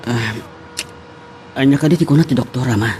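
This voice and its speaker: Serious male boss played by a man, faint rumble. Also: a little fast, darkish tone, close to an everyday voice. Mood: concerned. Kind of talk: Ilocano radio drama acting.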